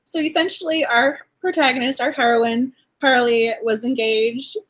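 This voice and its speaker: A woman from Massachusetts hosting a casual book club discussion, talking in an animated way and laughing often as she acts out her reactions. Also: a little high, normal speed, poor audio.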